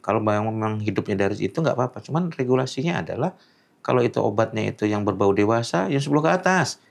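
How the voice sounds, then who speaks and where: A man's voice: lowish voice, expressive, fast, vocal fry. A middle-aged man talking casually in an interview, explaining animatedly and acting out callers' voices.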